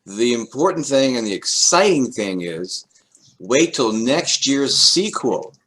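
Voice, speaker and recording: A man's voice: medium pitch, big pitch swings, slow pace. A man, an amateur cast member, making casual remarks to a group over a noisy video call.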